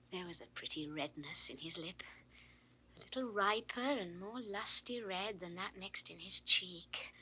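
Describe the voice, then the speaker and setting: Bright, swooping young shepherdess. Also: female voice, mid-range voice, a little hiss or hum, low-quality recording. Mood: smitten musing. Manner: theatrical, swinging between musing and indignation. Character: proud, fickle young shepherdess smitten with a youth. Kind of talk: classical Shakespearean stage acting. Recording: 1960s studio audio drama recording.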